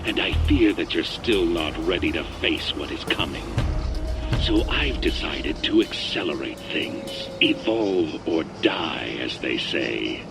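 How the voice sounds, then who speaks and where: A man playing a calm, menacing mastermind villain in a video game, speaking formally and deliberately. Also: rumbling low, vocal fry, music in the background, full character voice. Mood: calm menace. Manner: slow, deliberate, ominous, formal.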